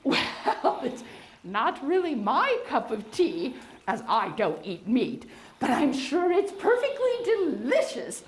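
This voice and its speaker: A woman plays a prim, kindly beaver lady hosting a puppet-show tea party, her voice sitting extremely high and swooping widely. She speaks slowly and sweetly, fluttering every line with gentle "oh"s. The sound is echoey, with a faint hiss underneath. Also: very animated, full character voice. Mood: gracious. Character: genteel female beaver hostess, kindly and proper. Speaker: puppet show hostess and teacher. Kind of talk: children's educational puppet show. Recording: echoey room with faint noise.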